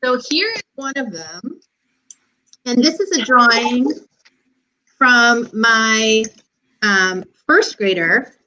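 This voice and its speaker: A woman's voice: fairly high pitch, lively intonation, slow, long pauses, pushed and bright, muffled recording, a little hiss or hum. A woman speaking as a guest on a video call, casually showing and explaining things. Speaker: workshop guest. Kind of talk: casual show-and-tell.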